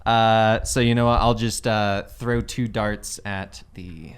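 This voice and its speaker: A man's voice: fairly low pitch, fairly even intonation, slow pace, clean tone. A man playing a tabletop game, talking casually with the group.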